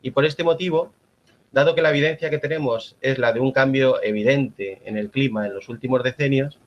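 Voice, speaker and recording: A man from La Mancha with a Spanish accent giving a formal, measured conference talk as an agronomist. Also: mid-range voice, highs cut off. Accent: Spanish (Spain). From La Mancha, Spain. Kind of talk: prepared conference presentation.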